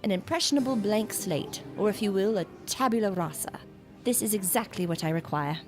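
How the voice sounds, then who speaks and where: A woman's voice: medium pitch, brisk, darkish tone, close to an everyday voice. Eccentric gothic lady scientist acted by a woman, imperious, knowing, theatrical, music underneath. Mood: commanding, intrigued. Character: eccentric, imperious female gothic doctor, secretive researcher. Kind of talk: gothic audio drama acting.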